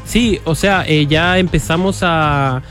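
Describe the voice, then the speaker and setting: A young man, a filmmaker answering interview questions in a relaxed, hesitant way, with many pauses and restarts. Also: on the high side, faint music behind. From Costa Rica. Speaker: guest filmmaker.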